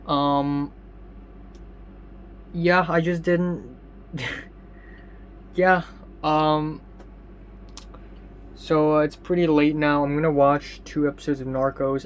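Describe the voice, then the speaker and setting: A young man rambling through a casual video diary with many ums and restarts, his voice slightly raspy. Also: fairly high pitch, slight background noise. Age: young adult. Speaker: vlogger.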